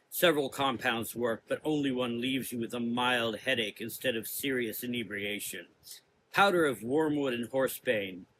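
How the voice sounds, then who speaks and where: A man playing a menacing, cerebral gothic sorcerer in an audio drama, lecturing deliberately in drawn-out, taunting phrases. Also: mid-range voice, a bit bright, a little hiss or hum, natural voice, poor audio. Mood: smug, lecturing.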